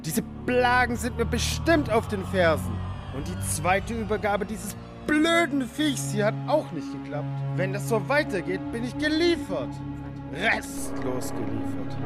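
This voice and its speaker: A man playing a gruff, agitated crook on the run in a children's radio play, cursing and muttering to himself. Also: high voice, full character voice.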